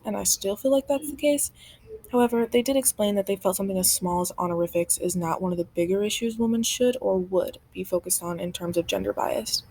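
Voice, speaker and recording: A woman's voice: mid-range voice, dark tone, no echo. A woman hosting a podcast, narrating in a scripted style. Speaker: podcast host.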